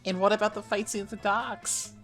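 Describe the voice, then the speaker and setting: A woman voicing a wry, patient young monster girl with an expressive, slightly dry delivery in an amateur game dub. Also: medium pitch, fairly quick, music in the background, lightly acted. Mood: appreciative. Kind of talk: amateur visual novel dub.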